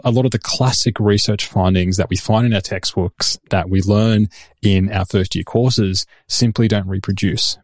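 A man with an Australian accent, a researcher explaining his work in a steady, conversational way. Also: lowish voice, dry recording, studio quality. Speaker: researcher and podcast host. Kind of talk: conversational interview answers.

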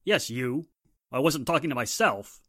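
A man performs a tough police inspector from an old radio drama. He is curt, bossy and sarcastic, with a mid-pitched voice recorded close and dry. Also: lively intonation, normal speed, fairly bright tone, no room sound. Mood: stern. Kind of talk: 1940s radio drama acting.

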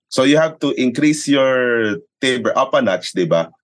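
A man's voice: mid-range voice, lively intonation, a little slow. A man with a Filipino accent chatting casually and explaining things emphatically, as a sports announcer being interviewed. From Philippines. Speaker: sports announcer and radio commentator, interview guest. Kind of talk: casual podcast chat, code-switching.

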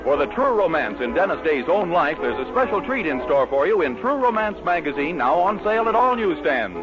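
Man, 1940s radio announcer, upbeat sales patter, fairly high and lively voice, dull lo-fi broadcast sound. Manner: smooth, upbeat sales delivery. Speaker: program announcer.

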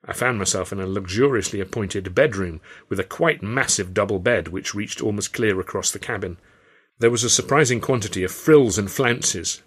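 A man's voice: on the low side, breathy edge. A man with an English accent narrating a first-person story from a script in a wry, literary style. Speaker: author and audiobook narrator.

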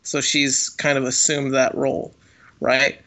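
A man's voice: medium pitch, monotone, unhurried, pushed and bright. A man co-hosting a casual analytical chat, thinking aloud and often seeking agreement. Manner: thinking aloud, checking with "right?". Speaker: podcast co-host.

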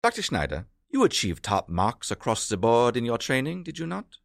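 An actor playing a pompous, sarcastic German military villain with a theatrical German accent in a comedy. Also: male voice, medium pitch, very animated, normal speed, gravelly, no room sound, studio quality. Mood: haughty, commanding. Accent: mock German. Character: pompous, impatient German military villain, childishly vain, secretly soft.

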